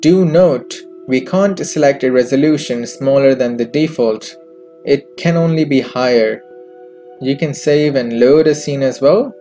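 A man presenting a software tutorial in scripted narration, recorded as a close voice-over with music behind him. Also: mid-range voice, measured pace. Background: background music.

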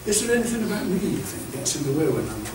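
A man's voice: high voice, very animated, rapid-fire. An older man giving a chatty, anecdotal training talk to clergy, often restarting with stammered repeats, heard from a camera across a meeting room. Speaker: bishop leading clergy training. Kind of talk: lecture to clergy. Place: classroom-sized meeting room with projector screen. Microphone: far camera. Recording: echoey room, far from the mic, faint hiss.

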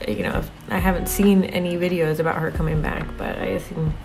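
A young woman talking casually about her week, halting with many fillers, her voice slightly raspy. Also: fairly low pitch, fairly even intonation, echoey room, over music. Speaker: art vlogger. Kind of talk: casual confessional talk. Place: home studio room with desk and monitors.

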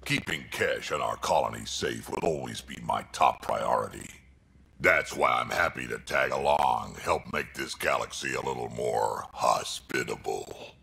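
An actor playing a tough old male krogan warrior, gruff and blunt, with a deep gravelly growl. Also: a little slow, bright, forceful tone, full character voice.

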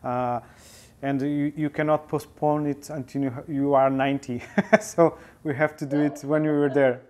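A man's voice: fairly high pitch, little pitch movement, moderate pace, darkish tone. A man in his forties with a Portuguese accent, answering interview questions thoughtfully with many hesitations, on a lapel mic in a studio. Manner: thoughtful, frequent filler hesitations. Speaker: interview guest, photojournalist. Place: indoor studio with sofa.

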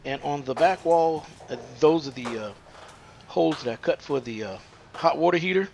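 Man, plumber, gravelly, halting with fillers, faint noise behind. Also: fairly high pitch, a little mellow. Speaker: plumber demonstrating installation. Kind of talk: hands-on how-to explanation. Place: unfinished house under construction, indoors.

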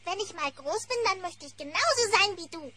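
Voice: extremely high pitch, lively intonation, moderate pace. A performer voicing an eager, exuberant little bear cub boy in a children's cartoon audio drama. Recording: noisy old cassette recording.

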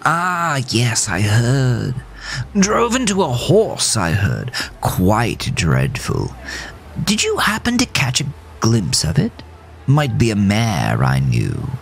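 A man's voice: mid-range voice, huge pitch swings, slow pace, creaky, a little hiss or hum, voice pushed up, heavily acted. A man voicing a genteel, eccentric horse in a tuxedo, speaking in prim, theatrical, old-fashioned formal phrases for a horror audiodrama. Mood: airy, amused. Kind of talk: horror audiodrama character acting.

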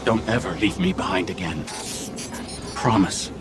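An actor voicing a small, devoted robot companion in a video game, hurt and indignant, with an emotional, pleading delivery. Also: male voice, deep voice, measured pace, over loud music. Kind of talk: dramatic video game dialogue.

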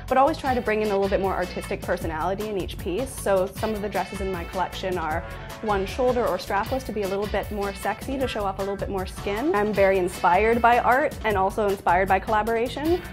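A woman's voice: medium pitch, quick pace. A young woman in her twenties, a designer, earnestly explaining her dresses in a studio interview. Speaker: fashion designer guest. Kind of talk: describing her work in an interview. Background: background music.

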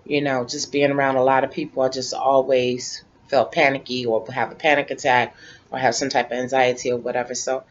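A woman in her late forties chatting casually to camera from her living room couch, relaxed and rambling, repeating herself as she talks. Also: a bass voice, brisk, a little hiss or hum. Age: 40s. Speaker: vlogger. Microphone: webcam. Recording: dull lo-fi webcam audio.

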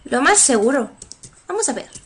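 A young woman with a Spain Spanish accent, giving casual, excitable commentary on a game at her computer. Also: high voice, moderate pace, slight background noise. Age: young adult. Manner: excitable, laughs often, sudden shrieks. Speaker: let's player. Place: room at a computer.

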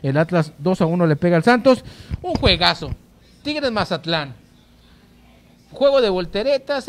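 Man, high voice, sports round-up, halting delivery, handheld mic. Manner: halting, hesitant, repeats words. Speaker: sports show co-host. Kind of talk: sports news round-up and commentary. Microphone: handheld microphone, masked speaker.

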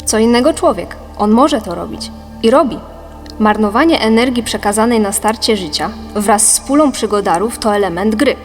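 A woman reading a scripted philosophical audiobook in a measured, didactic manner. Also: mid-range voice, expressive, close to an everyday voice. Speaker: audiobook narrator. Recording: long reverb, music behind. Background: music.

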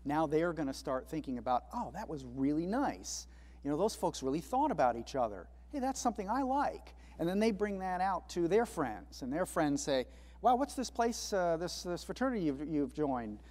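Reflective higher-voiced man, reverberant studio. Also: sing-song, normal speed, a little mellow. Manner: reflective, acts out quoted dialogue. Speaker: panelist. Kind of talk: panel discussion.

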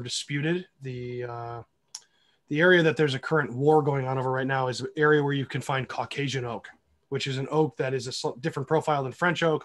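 American man explaining on a panel. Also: medium pitch. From Cleveland, United States. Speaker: panelist, wine documentary filmmaker. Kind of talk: casual panel discussion, explaining. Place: remote virtual panel call.

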